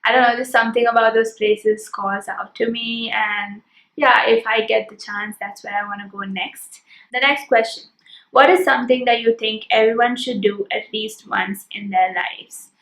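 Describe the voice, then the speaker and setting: A woman's voice: a little high, steady tone, normal speed, a bit bright, roomy sound, poor audio. Earnest young Indian woman vlogger. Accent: Indian English. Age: 20s. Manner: earnest, reflective, listing things one by one. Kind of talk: casual Q&A vlog.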